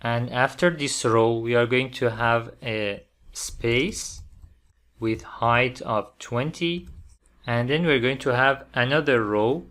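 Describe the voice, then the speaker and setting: Slow-paced man narrating a software tutorial. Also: mid-range voice. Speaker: programming tutorial presenter.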